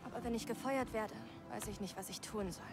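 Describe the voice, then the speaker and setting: A woman's voice: fairly high pitch, brisk, over music, natural voice. A woman voicing an earnest, driven young female journalist in a dubbed video game. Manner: serious, thoughtful game dialogue. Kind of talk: German game dub.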